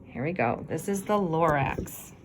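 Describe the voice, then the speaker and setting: A woman reading a rhyming children's story aloud with expressive, deliberate delivery. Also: low voice, a little hiss or hum, low-quality recording. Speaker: storybook reader.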